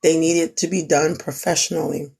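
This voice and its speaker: A woman's voice: low-pitched, steady tone, brisk, gravelly, a little mellow, slightly rough. A young woman who is losing her voice gives an earnest, repetitive pep talk on a live stream. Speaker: small business owner, crafter. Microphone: phone.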